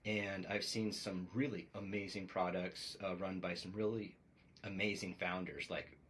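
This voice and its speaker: Deep-voiced man in his forties, podcast co-host, casual and reflective, loose and rambling delivery. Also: little pitch movement, normal speed, fairly bright tone, a little hiss or hum. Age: 40s. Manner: reflective, rambling storytelling with many fillers.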